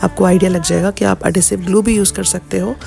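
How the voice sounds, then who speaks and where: A woman's voice: medium pitch, fairly even intonation, quick pace, vocal fry, warm tone. A woman in her thirties doing a casual, chatty home vlog voice-over, mixing English words into her speech. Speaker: home and lifestyle vlogger. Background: background music.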